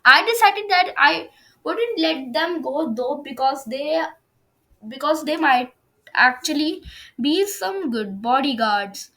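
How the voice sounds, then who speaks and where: A woman's voice: high-pitched, animated, slow pace, bright, forceful tone, low-quality recording. A woman doing an amateur read-aloud of a first-person story, now and then stumbling over the words. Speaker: fan fiction reader on own video channel.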